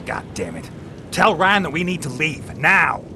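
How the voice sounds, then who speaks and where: An actor playing an impatient, sarcastic leader of survivors in a video game cutscene. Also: male voice, sing-song, a little slow. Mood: irritated, barking. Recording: noisy rumbling background.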